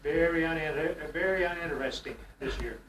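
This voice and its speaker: A man's voice: on the high side, brisk, a bit bright. Brief: East Texas grandfather, sixties, rambling home-video message, distant roomy mic. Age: 60s. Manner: rambling, folksy, joke-telling. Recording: echoey room, off-mic, faint noise.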